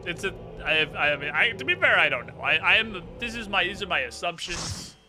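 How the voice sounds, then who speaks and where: A man's voice: high-pitched, lively intonation, a little fast. A man giving casual, joking gaming commentary as a streamer, with some swearing. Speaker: game streamer. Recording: music behind the voice. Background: game music.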